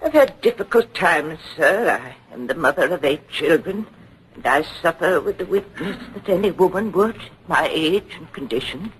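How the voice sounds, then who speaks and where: A woman's voice: on the low side, animated, moderate pace, a little hiss or hum, natural voice, poor audio. An actress playing a weary, pious elderly woman accused of witchcraft in an old-time radio drama, frail and earnestly pleading. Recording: vintage radio broadcast.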